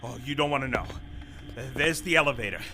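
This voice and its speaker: A man's voice: medium pitch, fast talker, lightly acted. An actor playing a harried male vice president on the run, sarcastic and exasperated. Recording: audio drama with music bed.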